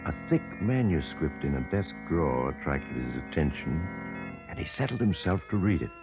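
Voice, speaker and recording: An actor narrating a 1940s radio thriller as a storyteller, with scripted, suspenseful delivery. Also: male voice, very deep voice, very animated, moderate pace, exaggerated voice. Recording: dull vintage radio recording with music.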